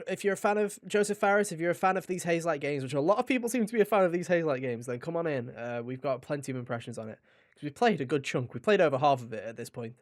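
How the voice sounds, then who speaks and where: A man's voice: a little high, dry recording. A man hosting a podcast in a casual, chatty way, with a jokey, mock-dramatic manner, close to the microphone. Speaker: podcast host.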